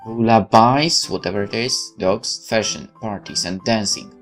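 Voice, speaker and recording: Low-voiced man reading over game music. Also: unhurried, creaky, a bit bright. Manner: stumbles over read text, exasperated swearing asides. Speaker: gaming video host. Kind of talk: let's play, reading visual novel text aloud with reactions.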